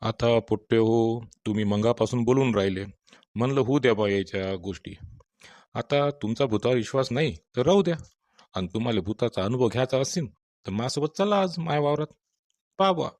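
Old farmer grandfather for cartoon, calm and knowing, rural Vidarbha accent, close dry recording male performer. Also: medium pitch, a little fast, no room sound, lightly acted. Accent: rural Vidarbha Marathi. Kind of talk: rustic cartoon storytelling.

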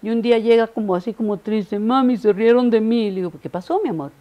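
An older woman from Mexico with a Mexican accent softened by Costa Rican usage, a guest in an interview, telling stories and acting out little dialogues with frequent restarts. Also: fairly high pitch, fast talker. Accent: Mexican Spanish softened by Costa Rican usage. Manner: animated storyteller, acts out dialogue, frequent restarts. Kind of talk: reminiscing conversation. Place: studio set styled as living room, indoors.

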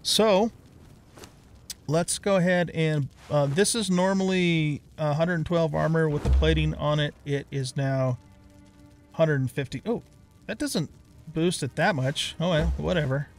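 A man's voice: fairly high pitch, a little slow, soft background music. A middle-aged man giving casual let's play commentary, unhurriedly thinking aloud step by step.

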